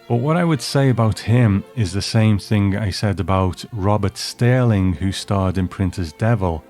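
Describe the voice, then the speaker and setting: A man's voice: lowish voice, normal speed, a little mellow, soft background music. A man hosting a review, narrating from a script. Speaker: host.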